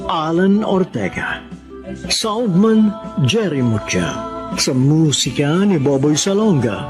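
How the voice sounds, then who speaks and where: Male Filipino drama narrator, solemn scripted delivery, widely swooping intonation, music bed underneath. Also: high voice. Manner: solemn, deliberate, dramatic phrasing. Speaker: radio drama host. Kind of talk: scripted moral narration and credits.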